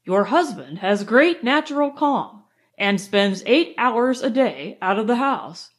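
A woman reading aloud, voicing a calm, firm male nerve doctor who lectures in a measured way. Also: fairly high pitch. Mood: lecturing.

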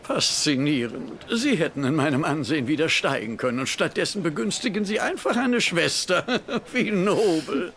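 An actor playing a smugly sarcastic hospital superior in a German-dubbed TV comedy-drama. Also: male voice, on the high side, expressive, normal speed, few pauses, a bit bright, a little hiss or hum.

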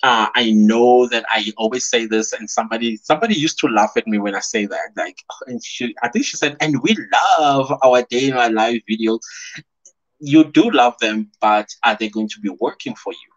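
A South African man in his thirties hosts a casual live stream from a small room at home. He is chatty and animated, breaks into laughter often, and talks at an ordinary mid pitch. The stream audio sounds a bit dull and lo-fi. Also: normal speed. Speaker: YouTuber hosting a live stream.

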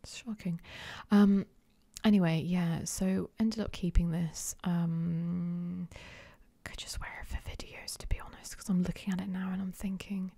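A woman whispering softly for an ASMR clothing try-on, hesitant and unsure, with many pauses and ums. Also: fairly low pitch, vocal fry, slightly rough, no room sound. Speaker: ASMR creator.

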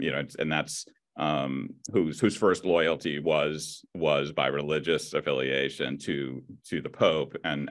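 Very deep-voiced man, halting moderator. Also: fairly bright tone. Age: middle-aged. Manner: halting, repeats words searchingly. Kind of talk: moderating a panel discussion. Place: indoor room, video call. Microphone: video call computer mic.

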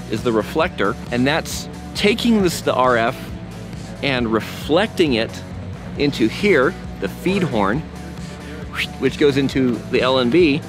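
Casual jokey guy with music behind him. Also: medium pitch. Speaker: video host, broadcast engineer. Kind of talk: casual vlog chat and off-the-cuff explaining. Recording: background music over the voice.

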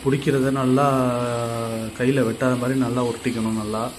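Flat-voiced man teaching woodwork, noisy shop. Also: medium pitch, normal speed. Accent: Tamil Nadu. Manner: plain step-by-step explaining, repeats himself. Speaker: carpenter teaching on his channel. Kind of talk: casual how-to narration.

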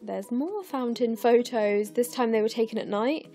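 A young English woman in her twenties, narrating her scrapbooking in a chatty, rambling way with lots of fillers, her voice croaky and tired late at night. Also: a little high, moderate pace, warm tone, faint music behind. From England. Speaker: scrapbooking vlogger. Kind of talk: casual voice-over narration of a craft process. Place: home, recorded late at night.

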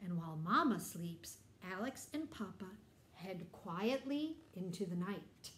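A middle-aged woman reads a children's story in a lively, swooping voice. She pauses to explain things and put simple questions to young listeners. The room adds a noticeable echo. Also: medium pitch, a little hiss or hum. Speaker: teacher reading a picture book aloud to children. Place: bright indoor room.

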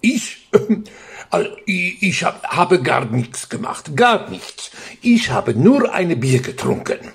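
An actor voicing a jovial, doting, teasing family man with a creaky, husky voice in a children's radio play. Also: high-pitched, big pitch swings, heavily acted. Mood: sheepish. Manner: chuckling, exclaiming, drawn-out phrasing. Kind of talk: German children's audio drama acting.

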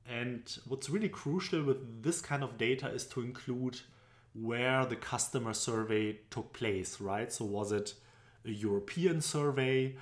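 A man's voice: medium pitch, measured pace. A young man from Germany with a German accent, explaining business presentation charts in a loose, tutorial style with frequent fillers, recorded on a webcam at his desk. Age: young adult. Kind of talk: screen-recorded tutorial narration. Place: indoor room at home. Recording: echoey room.

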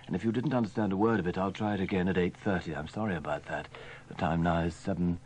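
Low-voiced male radio announcer. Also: steady tone, fast talker, darkish tone. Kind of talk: live radio reading.

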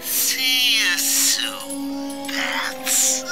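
An actor playing a gleeful, sadistic clown villain in a video game, with a cackling, mocking sing-song voice. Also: male voice, very animated, drawn-out words, heavily acted. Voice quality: high, cackling. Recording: distant, loud music behind.

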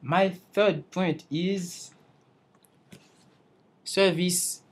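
A man's voice: sits high, fairly even intonation, drawn-out words. A young man from Haiti, an English learner, giving a careful class presentation over slides and restarting phrases as he searches for words. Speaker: English language student presenting.

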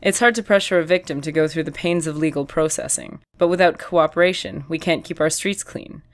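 A woman voicing an older female police detective who speaks in a measured, formally polite way. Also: deep voice, pitched below the performer's own voice. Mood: cool polite.

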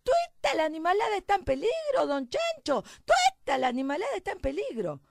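Woman voicing a shrill, frantic little country mouse. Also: huge pitch swings, normal speed, creaky, no echo, studio quality, full character voice. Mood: frantic alarm. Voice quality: squealing, high-pitched shrieks. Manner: urgent rustic warning cries.

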